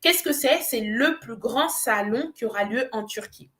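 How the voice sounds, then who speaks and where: Nigerian-born woman coach, slightly high voice, earnest sales pitch, hesitant pauses. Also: animated, fairly bright tone. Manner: earnest, persuasive, with frequent hesitations. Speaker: business coach. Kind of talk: promotional pitch on a live show.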